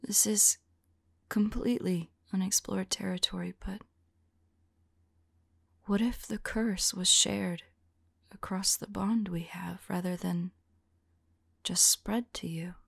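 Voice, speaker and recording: A woman performs as a devoted female vampire in an ASMR audio drama. She speaks in slow, breathy whispers with a faint creak, tender and anxious, pausing as she muses to her beloved. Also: mid-range voice, fairly even intonation, no room sound, heavily acted. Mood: curious, puzzled. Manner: halting, soft, musing to a loved one.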